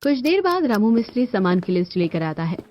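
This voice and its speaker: Woman narrating a story, medium pitch. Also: big pitch swings, fast talker, darkish tone, no room sound.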